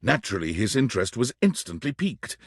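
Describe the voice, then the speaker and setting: Lively, husky dramatic game narrator. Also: male voice, on the low side, no room sound, studio quality, exaggerated voice. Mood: dramatic storytelling. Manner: dramatic, deliberate storyteller. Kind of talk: scripted storybook-like game narration.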